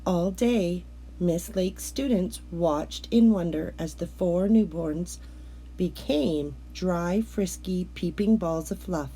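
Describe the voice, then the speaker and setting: Husky, mellow woman reading a storybook. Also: on the low side, slow, poor audio. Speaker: children's storybook reader. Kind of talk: scripted read-aloud narration of a picture book.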